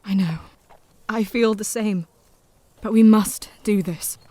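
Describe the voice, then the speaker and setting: A woman's voice: mid-range voice, takes it slowly, dry recording. A woman playing a loving, brave young wife. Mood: tender. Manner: gentle, heartfelt, softly spoken. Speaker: narrator of her own journal. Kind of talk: audio drama acting.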